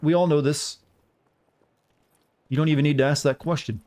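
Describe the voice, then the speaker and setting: A man's voice: fairly high pitch, fairly even intonation, moderate pace, a little mellow. A man from the southern United States with an American accent, giving casual, rambling live gameplay commentary with jokey, self-deprecating asides to viewers. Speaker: game streamer.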